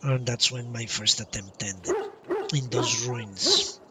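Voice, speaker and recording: A man doing casual live game commentary, thinking aloud in a halting way as he streams. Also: medium pitch, very noisy. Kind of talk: casual gameplay commentary and chat. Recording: distant mic with game music behind. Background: game music.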